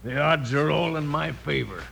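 An actor playing a cocky, menacing captured outlaw leader in a 1950s American Western radio drama, taunting in drawled frontier slang. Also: male voice, a little high, normal speed, vocal fry, loud music behind the voice. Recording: noisy old-time radio recording.

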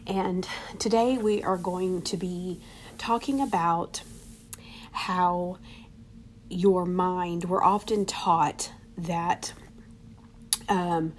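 A woman's voice: lowish voice, slightly rough. A woman with a congested, nasal voice hosting a faith podcast, speaking conversationally and often checking in with "right?" and "okay?". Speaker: podcast host. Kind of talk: casual faith-based talk.

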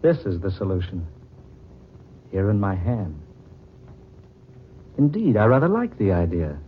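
Slick oily villain cheating with someone's wife, old radio drama, dull noisy recording. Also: male voice, sits low, big pitch swings, a little slow. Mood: coolly menacing. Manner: oily, mocking. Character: suave, taunting, cold-blooded male adulterer with a gun. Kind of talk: 1940s radio drama acting.

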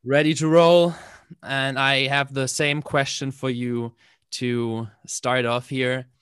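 A man hosting a casual interview, speaking slowly and playfully and echoing his guest's phrases. The recording is dry and close, with no room sound. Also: mid-range voice, fairly even intonation, a bit bright, clean tone. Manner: slow, playful, echoes the guest's words. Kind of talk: casual conversation.

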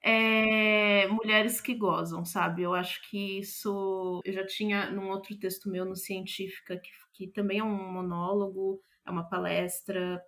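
A woman's voice: mid-range voice, flat delivery, a little slow, few pauses, a bit bright. A Brazilian woman speaking as an interviewed playwright, reflective and thoughtful, often searching for the right word.

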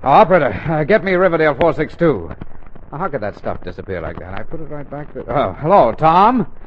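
A man's voice: a little high, very animated, fairly quick. An actor playing a flustered, evasive middle-aged father in a 1940s radio comedy, stammering and blustering, on a muffled, hissy old broadcast. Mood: hurried. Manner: stammering, blustering, repeats himself.

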